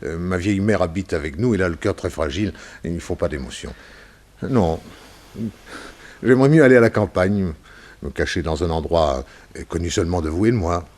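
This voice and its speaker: A man's voice: low-pitched, expressive, fairly quick, darkish tone. An actor playing a worried, wealthy middle-aged businessman in a 1960s radio serial, hesitant and stammering. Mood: anxious.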